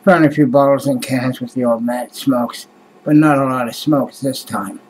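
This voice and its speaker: A man's voice: mid-range voice, steady tone, moderate pace, a little mellow, slight background noise, low-quality recording. A man in his fifties from Canada, rambling and sighing to his webcam in a small room at night, swearing often and trailing off. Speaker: vlogger. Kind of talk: casual rambling vlog. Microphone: webcam close to face.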